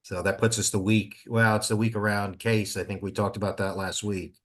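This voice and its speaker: A man with a somewhat deep voice talks casually in an online meeting. He sounds conversational, like a participant in an informal planning discussion. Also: little pitch movement, a little fast, fairly bright tone. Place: remote teleconference call.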